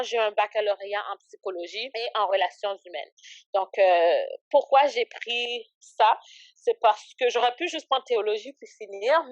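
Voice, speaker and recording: A woman's voice: fairly high pitch, dry recording, dull audio. Chatty woman in her twenties, dry sound. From Haiti, raised in Canada. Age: 20s. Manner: hesitant, many fillers, laughs often. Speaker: guest, ministry founder. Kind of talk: casual interview chat. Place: Ottawa.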